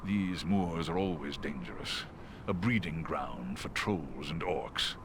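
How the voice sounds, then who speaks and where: A grave, noble giant eagle from a fantasy game, speaks in stately declamation. The voice is gravelly and swings expressively, with a faint noise behind it. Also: male voice, rumbling low, expressive, slow, full character voice. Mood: grave warning. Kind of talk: fantasy game cutscene acting.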